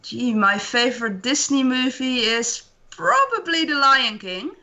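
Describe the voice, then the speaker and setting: Bright-voiced woman, friendly. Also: sits high, animated, unhurried, dull audio. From Netherlands. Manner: friendly, brief answers, light laughs. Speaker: quiz contestant.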